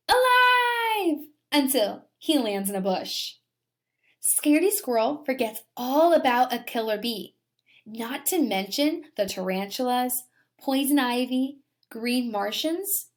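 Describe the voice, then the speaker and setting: A woman teacher reading a children's story aloud with dramatic emphasis and talking directly to her young pupils. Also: sits high. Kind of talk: expressive children's story read-aloud and lesson.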